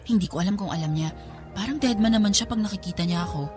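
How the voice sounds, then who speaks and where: A woman's voice: mid-range voice, fast, over music, natural voice. A woman with a Filipino accent acting a smitten, cheeky young photography student who gets flustered in a radio drama. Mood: giddy, smitten. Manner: expressive, stammering when flustered.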